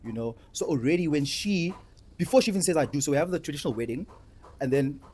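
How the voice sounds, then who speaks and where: A man's voice: fairly high pitch, animated, quick pace, a little mellow, husky. South African man chatting casually. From Cape Town, South Africa. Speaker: discussion host and participant. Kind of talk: casual conversational discussion.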